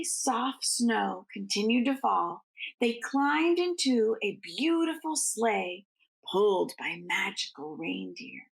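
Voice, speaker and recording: A woman's voice: on the high side, creaky, low-quality recording. A woman reading a storybook aloud to children like a schoolteacher, in an informal way. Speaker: teacher reading aloud to students.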